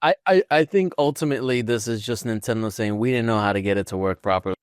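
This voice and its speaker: A man's voice: mid-range voice, quick pace, clean tone, dry recording, clean studio sound. A man co-hosting a podcast, chatting informally and bluntly. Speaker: podcast co-host. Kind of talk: casual chat.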